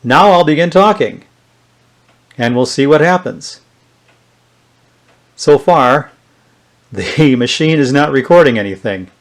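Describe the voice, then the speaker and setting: A man's voice: fairly high pitch, animated, slow, pauses often. A man giving a casual, unscripted hands-on demonstration, thinking aloud as he tests things. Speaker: vintage electronics reviewer.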